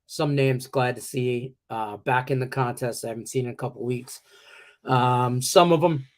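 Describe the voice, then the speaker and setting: Congested, joking middle-aged man. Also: medium pitch. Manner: loose and joking, rambling with many fillers. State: congested with a cough, voice a bit cracky. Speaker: sports betting show host. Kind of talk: casual live-stream chat.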